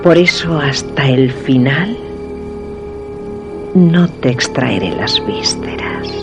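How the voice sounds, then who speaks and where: A man's voice: fairly high pitch, wildly swooping pitch, slow pace, full character voice. A man voicing a sinister, falsely amiable wife and embalmer in a suspense radio drama, with a Spain accent and scary music. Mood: calm menace. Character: sinister, kindly-spoken wife, expert embalmer. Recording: loud scary music behind.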